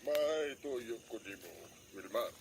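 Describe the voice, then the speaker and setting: A man's voice: medium pitch, lively intonation, slow pace, a little hiss or hum. A man playing a reassuring construction boss in a radio drama, matter-of-fact and directive, with a Cebuano Filipino accent. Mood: businesslike. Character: male construction boss, reassuring, steady authority. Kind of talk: Philippine radio drama acting.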